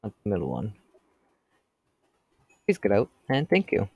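A man's voice: fairly low pitch, wildly swooping pitch, unhurried, gravelly, a little mellow, slightly rough, dry recording, lo-fi sound. A teenage boy with a stuffy cold voice, rambling casually as he comments on his gameplay. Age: teens. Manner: chatty, rambling, talks to customers.

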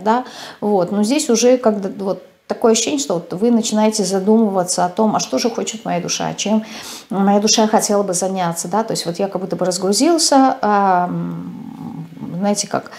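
A woman's voice: mid-range voice, mellow. A woman in her thirties gives an unscripted tarot reading to camera, speaking hesitantly with frequent filler sounds and restarts, recorded at a desk in a small room. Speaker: tarot reader.

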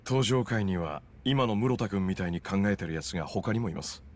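An earnest, low-voiced informant. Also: male voice, animated, moderate pace, background noise. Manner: earnest, explanatory. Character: earnest male informant, thirties, loyal and troubled. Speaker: loan business owner. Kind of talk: video game cutscene dialogue. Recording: game audio with music behind. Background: music.

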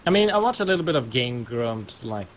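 A man's voice: mid-range voice, big pitch swings, fairly quick, slight background noise. A young Swedish man with a Swedish accent, joking and swearing in casual gameplay chat. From Sweden. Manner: jokey, puts on silly accents, swears freely. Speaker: gaming YouTuber.

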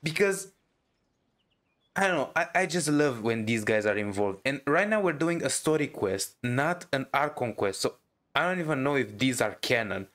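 A man's voice: mid-range voice, lively intonation, moderate pace, a bit bright. A young man giving casual gaming commentary, informal, often stammering and repeating his words. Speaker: gamer, streamer. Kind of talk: casual game reaction commentary.

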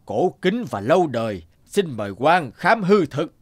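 A man's voice: on the high side, big pitch swings, measured pace. A man playing a shrewd, righteous old village man with magical powers in a cartoon, declaiming and admonishing. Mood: composed, sly. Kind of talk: cartoon voice acting.

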